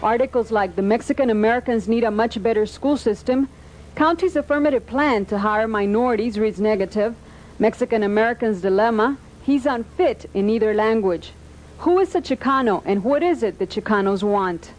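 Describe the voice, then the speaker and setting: A woman narrating a documentary script in a steady, measured reading. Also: a little high, low-quality recording.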